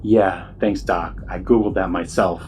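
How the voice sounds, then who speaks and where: Guy acting as tired broke musician who cant sleep, deep voice, dry sarcasm, audio drama, bit of music under. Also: fairly even intonation, a little slow, darkish tone. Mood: exasperated. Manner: sardonic, blunt, hesitant. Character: weary, sardonic struggling musician with insomnia, male. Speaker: caller to radio show.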